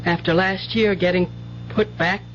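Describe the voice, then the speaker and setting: A woman's voice: lowish voice, fairly even intonation, a little slow, slight background noise, close to an everyday voice. An actress playing an anxious, doting rural mother in a 1970s radio drama, with folksy, tender speech. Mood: worried, pleading. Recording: muffled old radio broadcast, music bed.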